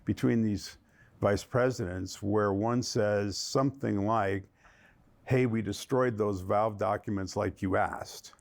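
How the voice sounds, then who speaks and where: This is a man with a low, gravelly voice, a lawyer looking back on a case in a documentary interview. He tells it in a measured way. He slips into the quoted exchanges as if replaying them. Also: steady tone, darkish tone. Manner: measured storytelling, acting out quoted dialogue.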